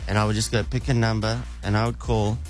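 A middle-aged man with a South African accent, hosting breakfast radio and telling stories in an animated way with lots of restarts, speaking into a studio mic. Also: fairly low pitch, fast talker, faint music behind. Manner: animated storyteller with frequent restarts. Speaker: radio show host, prank caller. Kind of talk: casual breakfast radio banter. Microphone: studio microphone.

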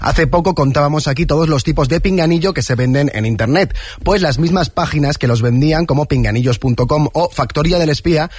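Husky fast-talking radio reporter. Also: male voice, on the high side, dry recording. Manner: wry, brisk reporting. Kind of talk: scripted news segment.

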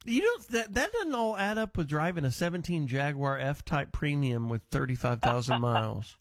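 A man's voice: fairly high pitch, very animated, normal speed, no room sound, studio quality. A male radio host taking calls, blunt and teasing, swearing casually. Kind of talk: call-in radio talk.

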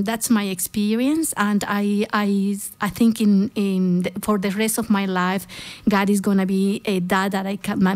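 Middle-aged woman, Bolivian Spanish accent, mid-pitched, speaking haltingly as a guest sharing personal testimony. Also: darkish tone. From Santa Cruz, Bolivia.

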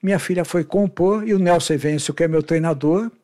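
A man's voice: on the high side, brisk, mellow, no room sound, clean studio sound. A middle-aged man with a Brazilian accent telling casual anecdotes in a blunt, colloquial, emphatic way, often repeating himself. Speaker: podcast guest, veteran amateur marathon runner.

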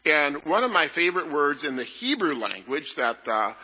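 A man leading a children's message in a church service, talking to the children in a gentle, playful way. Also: on the high side, sing-song, normal speed, husky. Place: large church sanctuary. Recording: echoey hall, dull lo-fi sound.

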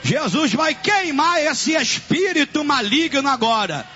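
A man's voice: very high voice, very animated, measured pace, a little hiss or hum. A man with a Brazilian accent, a pastor leading a deliverance prayer in a commanding, repetitive chant. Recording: muffled, loud music and crowd behind. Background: loud music and congregation.

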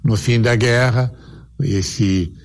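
A man's voice: mid-range voice, fairly even intonation. An older man who grew up in Italy, telling family stories unhurriedly in an archived interview. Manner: unhurried, anecdotal, quotes relatives.

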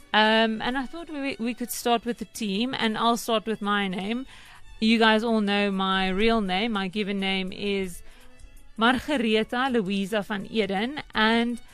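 Chatty South African radio presenter woman. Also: mid-range voice. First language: Afrikaans. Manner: warm, chatty, with frequent ums. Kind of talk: conversational radio feature.

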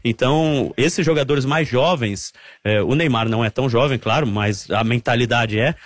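A man's voice: medium pitch, moderate pace, no echo. A middle-aged Brazilian man reporting on the national team, chatty and explanatory, repeating points for emphasis. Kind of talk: live analysis on a football talk show.

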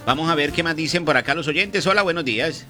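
A man hosting radio, reading listener messages and sponsor copy in a brisk announcer style. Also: fairly high pitch, sharp tone, faint music behind. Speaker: radio host.

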